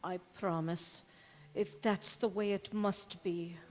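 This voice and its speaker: A woman plays a loyal servant in a radio drama, fretful and folksy, scolding out of sorrow. Her mid-pitched voice takes its time, and the sound echoes around a hall. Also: steady tone, slow pace, darkish tone, a little hiss or hum, natural voice. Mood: sorrowful. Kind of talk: stage radio-play acting. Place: large reverberant church or hall.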